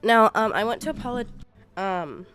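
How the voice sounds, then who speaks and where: A woman in her twenties with a congested cold voice, walking viewers through a software tutorial in a chatty, rambling way. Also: fairly high pitch, normal speed, clear, resonant tone, faint music behind. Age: 20s. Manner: chatty, rambling, self-correcting. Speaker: gaming YouTuber. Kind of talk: casual screen-recorded tutorial.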